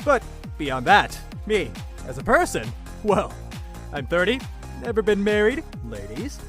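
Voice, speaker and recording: A man's voice: high voice, huge pitch swings, a little slow, a bit bright, faint music behind, exaggerated voice. A man playing a boastful hacker convict of about thirty in a sci-fi audio drama, cocky and showy. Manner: showy, self-amused, cocky. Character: brash, boastful thirty-year-old male hacker convict.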